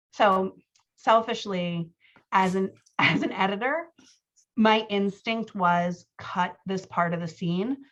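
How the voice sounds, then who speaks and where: A woman talking casually as a writing coach, giving feedback and acting out bits of dialogue in an animated, conversational way. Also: mid-range voice. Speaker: book coach giving feedback on a writer's scenes. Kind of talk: casual coaching talk mixed with reading out scene dialogue. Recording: slightly dull, lo-fi audio.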